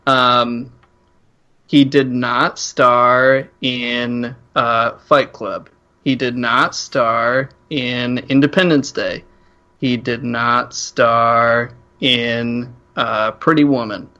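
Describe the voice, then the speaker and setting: A man with a bright, forward voice and a medium pitch hosts a casual comedy chat. He talks in a joking, teasing manner, like someone setting up a reveal for friends. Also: fairly even intonation, extremely slow, lo-fi sound. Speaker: podcast host.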